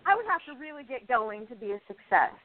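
A woman's voice: fairly high pitch, sing-song, fast talker, no room sound. A woman acting the part of a depressed, envious, defensive actress of almost thirty in a radio play. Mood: upset, frustrated. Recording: thin phone-like audio.

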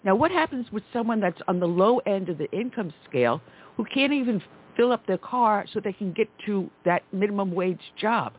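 A woman's voice: mid-range voice, lively intonation, moderate pace. Woman on a tinny phone line. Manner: emphatic, indignant. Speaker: talk radio host. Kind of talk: conservative talk radio chat.

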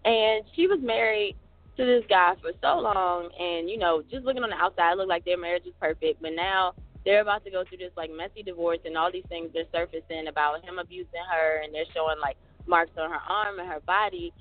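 High-voiced chatty man on a tinny line. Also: fairly quick. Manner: chatty, rambling with many "like"s. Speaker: podcast co-host. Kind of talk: casual chat. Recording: tinny phone-like sound, dry.

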